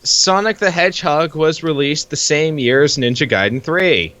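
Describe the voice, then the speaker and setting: A man's voice: on the high side, moderate pace, pushed and bright, highs cut off. A man co-hosting with casual, teasing banter, often contradicting his partner. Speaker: co-host bantering over a webcomic.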